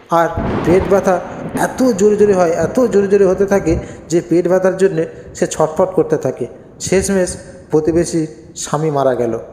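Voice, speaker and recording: Male cartoon narrator, high pitch, scripted storytelling, long reverb, faint music. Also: darkish tone.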